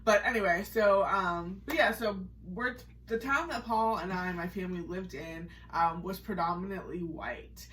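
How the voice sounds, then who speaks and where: A woman's voice: fairly low pitch, normal speed, few pauses, fairly bright tone, recorded from a distance, slight background noise, rough recording. An American woman co-hosting a podcast, telling her personal story in a casual, chatty, conversational way. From Massachusetts, United States.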